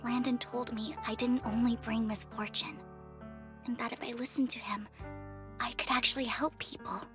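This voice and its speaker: An actress playing a gentle, troubled young woman in a video game, speaking softly, formally and hesitantly. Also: fairly high pitch, little pitch movement, normal speed, close to an everyday voice. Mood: sad, confiding. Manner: soft, breathy, hesitant, formal. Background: music.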